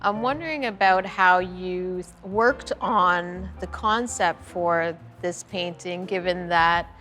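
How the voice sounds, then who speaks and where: A woman's voice: on the low side, takes it slowly, fairly bright tone, faint music behind. A woman conducting an interview, putting prepared questions to her guest.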